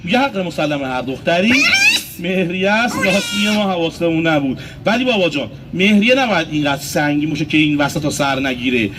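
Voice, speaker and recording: A man's voice: on the high side, lively intonation, noisy background. A man from Isfahan, Iran, presenting a comic street puppet show, playfully coaxing the puppets and addressing the audience directly. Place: outdoor street. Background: loud music.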